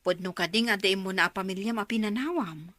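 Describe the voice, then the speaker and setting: Actress as inquisitive Ilocano woman, bright voice. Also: mid-range voice, fairly quick, no echo, clean studio sound, lightly acted. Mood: frank, curious. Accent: Filipino, Ilocano. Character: Eva, frank questioning woman giving Cora laundry work. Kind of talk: Ilocano radio drama acting.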